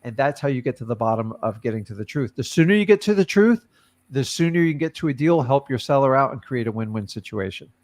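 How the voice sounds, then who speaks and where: A man's voice: medium pitch, expressive, quick pace, a little mellow. Casual blunt man coaching on a call. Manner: blunt, casual, advice-giving. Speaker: host and wholesaling coach.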